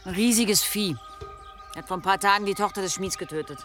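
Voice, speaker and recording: A woman's voice: mid-range voice, a little fast, a bit bright, close to an everyday voice. Bold bloodthirsty huntress, female actor, blunt, mocking, played over music, audio drama. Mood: blunt, dismissive. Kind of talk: German audio drama acting.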